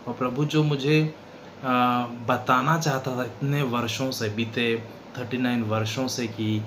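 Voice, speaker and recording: Indian guy late 30s giving a faith testimony, slow n halting, mid pitch, noisy recording. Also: level tone, fairly bright tone. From western Madhya Pradesh, India. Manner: halting, pauses often, switches between Hindi and English. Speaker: seminar participant giving a testimony. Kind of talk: personal religious testimony, unscripted. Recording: clearly noisy background.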